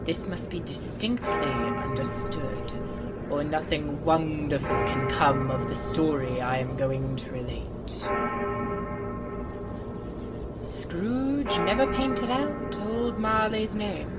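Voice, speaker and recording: A woman reading a classic story as a dramatic, deliberate storybook narrator. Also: sits low, low-quality recording. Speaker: narrator and producer of an audiobook. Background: loud music.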